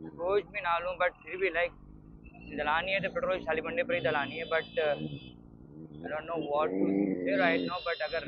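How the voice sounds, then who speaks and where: A man's voice: high voice, creaky. An Indian man chatting casually and off the cuff as a motorcycle vlogger, rambling and repeating himself as he mixes two languages. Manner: rambling, repetitive, switching between Hindi and English. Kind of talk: casual unscripted chat while riding. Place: outdoors on a motorcycle on the road. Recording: muffled, noisy motorbike ride with engine and traffic sounds. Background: vehicle and road noise.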